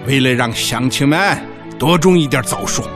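A man's voice: mid-range voice, moderate pace, gravelly, over music, lightly acted. A man acting an eager, shrewd village party secretary in a radio drama, folksy and emphatic. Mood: calm narrating. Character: eager, shrewd, big-hearted male village party secretary rallying farmers.

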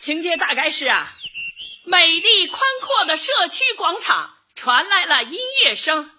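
An actress playing a cheerful, earnest older housewife and community organizer in a light comedy radio drama, chatty and expressive. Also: female voice, very high-pitched, unhurried, highs cut off, heavily acted. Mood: enthusiastic presenting.

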